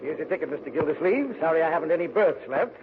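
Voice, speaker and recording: A man's voice: on the high side, expressive, fast talker, close to an everyday voice. An actor playing a long-suffering, matter-of-fact male train conductor in a 1940s radio comedy, dry and weary in manner. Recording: tinny, hissy old radio broadcast.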